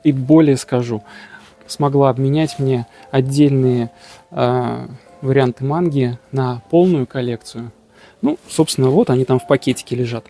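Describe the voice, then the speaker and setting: A man's voice: medium pitch, normal speed, warm tone, soft background music. A man casually narrating his travel vlog outdoors, joking, boasting and using slang. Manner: jokey, boastful, slangy storytelling. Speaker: travel vlogger.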